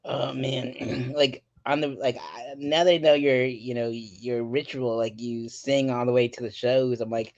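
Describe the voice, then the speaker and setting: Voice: mid-range voice, unhurried, few pauses, dull audio. A host of a comedy talk stream, asking questions and bantering with lots of laughter. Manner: giggly, animated, swearing banter. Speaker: podcast host. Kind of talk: casual interview chat.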